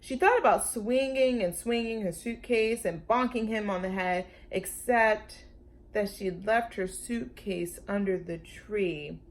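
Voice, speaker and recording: A woman's voice: medium pitch, measured pace. A woman reading a children's story aloud expressively, as a storytime host speaking to young listeners. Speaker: storytime reader.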